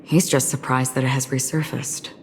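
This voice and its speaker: An actress playing a cool, pensive, quietly vigilant woman in a video game, speaking in a subdued, deliberate way. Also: extremely low pitch, little pitch movement, a little hiss or hum. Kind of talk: English video game voice acting. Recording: long reverb, game music behind.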